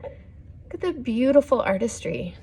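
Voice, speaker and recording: A woman hosting a children's story time, reading a picture book aloud with gently instructive asides and questions to the listeners. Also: a little high, very animated, measured pace, a little hiss or hum, poor audio.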